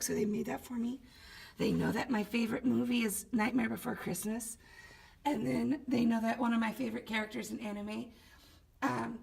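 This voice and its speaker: A woman teacher vlogging casually, chatty and upbeat with rambling asides, her voice hoarse as it comes back from being lost. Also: fairly high pitch. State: hoarse, recovering voice. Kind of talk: casual vlog.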